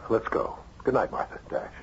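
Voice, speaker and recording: An actor playing a firm, courteous police chief in an old-time radio drama, official and probing. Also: male voice, moderate pace, natural voice. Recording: dull, hissy old radio recording.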